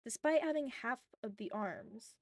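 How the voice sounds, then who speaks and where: A woman narrates a piece of fan fiction from a script, in audiobook style. Her voice is on the higher side. The recording is very dry, with hardly any room in it. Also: expressive, unhurried, no echo.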